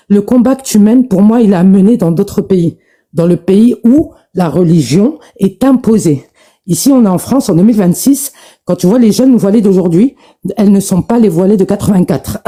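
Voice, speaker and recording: A woman's voice: mid-range voice, mellow. A woman in her forties, out of breath from exercising, arguing insistently over a phone call on a live stream, with repeated restarts. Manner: insistent, repetitive, stumbling restarts. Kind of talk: heated debate monologue.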